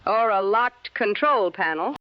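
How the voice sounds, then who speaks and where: A woman playing a poised 1960s radio co-host, reassuring and practical with deadpan wit. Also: mid-range voice, unhurried, clear, resonant tone, no room sound, muffled recording, natural voice. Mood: deadpan, wry. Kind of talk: 1960s radio broadcast acting. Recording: dry, band-limited vintage radio sound.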